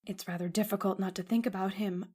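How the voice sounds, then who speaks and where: A woman's voice: mid-range voice, steady tone, fast talker, warm tone, breathy edge, natural voice. An actress playing an anxious young woman who has lost her brother, in earnest old-time radio drama style.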